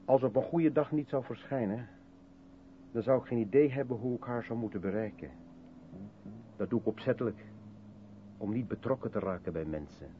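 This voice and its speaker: A man's voice: medium pitch, lightly acted. An actor playing a guarded, self-doubting intellectual man in a radio play, brooding and hesitant as he questions himself. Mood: guarded, withdrawn. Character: withdrawn, self-doubting mixed-race South African man, guarded intellectual. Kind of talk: 1970s Dutch radio play acting. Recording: tinny band-limited old radio recording, faint hiss.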